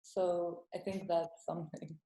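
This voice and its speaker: A young woman comedian from Estonia, whose first language is Estonian, chatting as an interview guest in a halting, hesitant way with frequent laughs. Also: lowish voice, flat delivery, warm tone, reverberant, poor audio. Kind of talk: casual interview.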